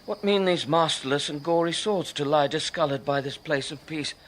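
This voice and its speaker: An actor playing an older, anxious friar in a classical radio drama, formal and urgent. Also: male voice, fairly high pitch, moderate pace, background noise, close to an everyday voice. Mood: horrified sorrow. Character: kindly old friar, anxious and horrified.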